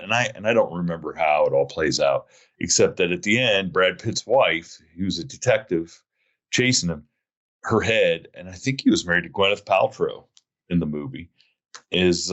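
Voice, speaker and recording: A man with a very low voice hosts a casual podcast chat. He tells long, meandering stories and often makes fun of himself. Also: a bit bright, muffled recording. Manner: rambling storyteller, self-mocking. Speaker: podcast host.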